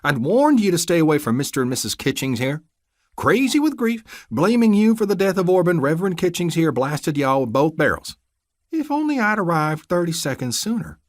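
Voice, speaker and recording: A man's voice: fairly high pitch, very animated, fairly quick, no room sound, clean studio sound, voice pushed up. A man voicing a scornful, corrupt small-town deputy, smug and drawling.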